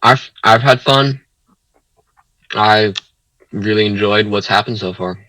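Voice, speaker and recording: A man's voice: lowish voice, takes it slowly, pushed and bright, no room sound, low-quality recording. A man chatting casually as one of the players in a tabletop roleplaying game. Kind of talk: casual chat.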